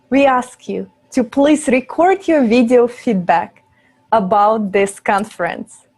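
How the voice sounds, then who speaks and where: A woman moderating an online conference, reading polite, deliberate scripted announcements. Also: a little high, darkish tone.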